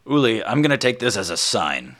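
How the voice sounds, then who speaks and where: A man's voice: lowish voice, big pitch swings, brisk, a bit bright. A man voicing an earnest, folksy farmer who is a player's brother, talking eagerly with some stammering. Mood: friendly. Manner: eager, stammering, good-natured.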